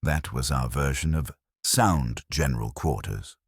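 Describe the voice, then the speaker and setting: A man narrates a detective story in an extremely low voice. His delivery is wry and wisecracking, with lively swings in intonation. The sound is dry and close, with no room around it. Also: unhurried, slightly rough, no echo. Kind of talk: scripted first-person detective audiobook narration.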